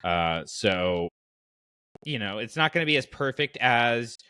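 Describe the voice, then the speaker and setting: A man hosting a casual fan podcast, talking in an animated, rambling way as he thinks aloud. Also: medium pitch, measured pace, smooth. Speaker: podcast host.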